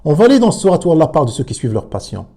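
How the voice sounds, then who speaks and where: A man commenting on a religious debate, emphatic but halting, with many repeated words and fillers. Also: fairly high pitch, wildly swooping pitch, mellow, breathy edge. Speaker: commentator reacting to a clip.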